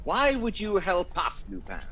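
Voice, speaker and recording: A man playing a vain, excitable captain in a comic radio drama, given to melodramatic exclamation. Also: high-pitched, a little slow, dull audio, full character voice. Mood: suspicious. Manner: self-regarding, melodramatic exclamations.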